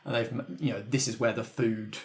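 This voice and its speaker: A man runs a tabletop roleplaying session as game master. His voice is mid-pitched and conversational, and he explains things by thinking them through aloud. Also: steady tone, a little fast, vocal fry. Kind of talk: casual tabletop game facilitation, scripted credits at the end.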